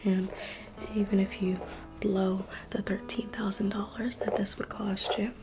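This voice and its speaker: Woman, whispered ASMR museum-guide read, very unhurried, soft music bed underneath. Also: mid-range voice, level tone. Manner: soft whispering, intimate and reassuring. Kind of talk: ASMR roleplay.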